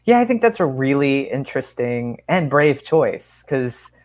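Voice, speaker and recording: A man's voice: medium pitch, very animated, unhurried. A man in his thirties co-hosting a podcast over a video call, chatting casually about a short story. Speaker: podcast co-host. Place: room at home, video call.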